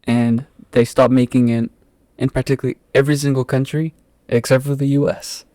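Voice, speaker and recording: Young male podcast guest from England, Afrikaans-raised, mellow and dry, rambles with fillers. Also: mid-range voice, flat delivery, moderate pace, dry recording. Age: young adult. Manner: rambling, hesitant, many fillers. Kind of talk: casual podcast interview.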